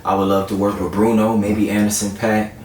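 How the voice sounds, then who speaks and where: A man's voice: on the low side, flat delivery, fairly quick, over a noisy crowd. A man from South Florida chatting casually as an R&B singer being interviewed. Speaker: guest R&B singer-songwriter. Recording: roomy echo, distant mic, faint noise.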